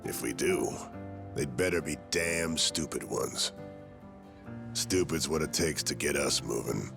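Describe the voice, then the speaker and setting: An actor playing a gruff, weathered middle-aged yakuza in a video game, wry and world-weary, with dry, clipped phrasing. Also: male voice, extremely low pitch, a little slow, over music, heavily acted. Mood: wistful. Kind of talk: video game cutscene acting.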